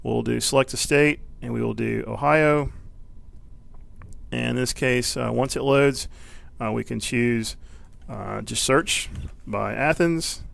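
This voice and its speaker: A man giving a casual, unscripted software tutorial, explaining step by step and thinking aloud as he goes. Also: mid-range voice, slow, pauses often, dry recording. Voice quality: gravelly, creaky. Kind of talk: informal, unscripted screencast walkthrough.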